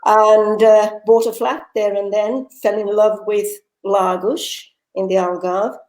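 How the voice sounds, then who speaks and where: An older woman with a faint Finnish lilt under her British English, talking freely as an interview guest. Her voice sits at an ordinary pitch and rings in a roomy, echoey space, as if over a video call. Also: little pitch movement, slow, a little mellow. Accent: Finnish-tinged British English. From Finland. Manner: chatty, articulate, with repeated word restarts. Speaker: guest, author and retired teacher. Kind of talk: conversational interview.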